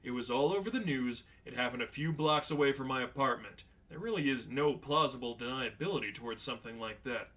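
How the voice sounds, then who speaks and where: A man's voice: mid-range voice, a little fast, few pauses, a bit bright, close to an everyday voice. A man playing a sardonic, unflappable veteran demon hunter in a story reading, matter-of-fact and flippant. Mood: unamused, sardonic. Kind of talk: dramatized fan fiction reading.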